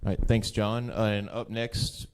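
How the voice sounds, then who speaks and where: A man chairing a conference session, introducing the next speaker in a flat, announcing way. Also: lowish voice, a little slow, background noise.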